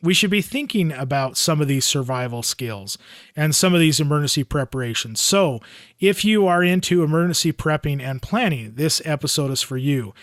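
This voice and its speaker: Male podcast host, American, slightly high voice, close dry recording, presenting show news and guests. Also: fairly bright tone, no echo. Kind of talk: podcast announcements and interview.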